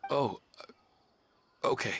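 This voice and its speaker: An actor playing a gentle, wistful widower in a video game, earnest and a little dazed, with halting speech. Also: male voice. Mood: apologetic.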